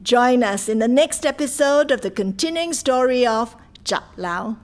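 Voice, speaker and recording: A woman's voice: fairly high pitch, lively intonation, measured pace. A woman narrating a radio drama, reading scripted story links addressed to listeners.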